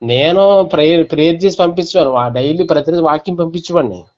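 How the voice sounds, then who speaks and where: A man's voice: fairly high pitch, quick pace, darkish tone. A man with a Telugu accent telling his story step by step in a casual phone conversation, often quoting what was said. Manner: recounts step by step, quoting dialogue. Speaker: phone caller recounting being scammed. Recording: dull lo-fi recorded phone call.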